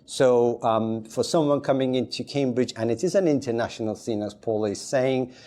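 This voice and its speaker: A man who grew up in Turkey talks as a podcast guest with a mellow, dark-toned voice. He rambles loosely, circling back with fillers and restarted phrases, at an ordinary middle pitch. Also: moderate pace. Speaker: podcast guest, community advisor. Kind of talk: casual chat.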